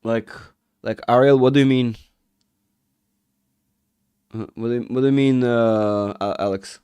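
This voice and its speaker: A man hosting a live market chat with viewers, rambling and restarting sentences, his voice rough from a cold. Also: mid-range voice, steady tone, unhurried, a little mellow, dry recording, highs cut off. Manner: rambling, self-interrupting, reads chat aloud. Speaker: market analysis livestream host. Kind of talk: casual livestream chat with viewers.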